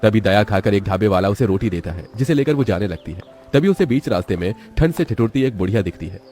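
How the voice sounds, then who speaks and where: Brisk, dramatic male story narrator. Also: lowish voice, animated, dark tone, soft background music. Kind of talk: scripted children's story narration.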